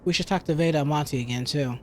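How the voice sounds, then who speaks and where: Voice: mid-range voice, fast talker, background noise, close to an everyday voice. A streamer voicing a self-doubting young detective student from a visual novel. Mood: calm, reasoning. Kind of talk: visual novel read aloud.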